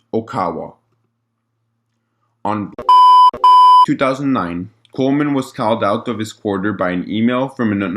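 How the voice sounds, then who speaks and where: A man narrating a scripted document log in a formal, report-like way, with an occasional stumble over the words. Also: fairly low pitch.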